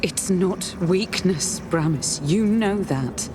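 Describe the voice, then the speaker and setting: Protective fantasy queen: slightly low voice, gentle but firm, formal, game drama. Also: expressive, slow, noisy background. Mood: tender, concerned. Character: devoted, resolute queen, wife and protective mother. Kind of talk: video game cutscene drama acting.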